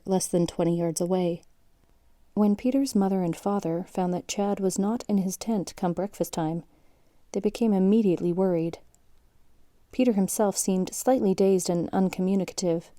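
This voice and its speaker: A woman playing a sober chronicler, narrating a scripted account in a measured, factual manner. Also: on the low side, dark tone, no room sound, clean studio sound, lightly acted. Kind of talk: scripted documentary-like story narration.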